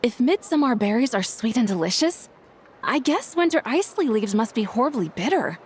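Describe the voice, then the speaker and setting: Earnest, higher-pitched student. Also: female voice, big pitch swings, fairly quick, slight background noise. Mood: thoughtful. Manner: polite, inquisitive. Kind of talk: anime video game voice acting. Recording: faint music under the voice.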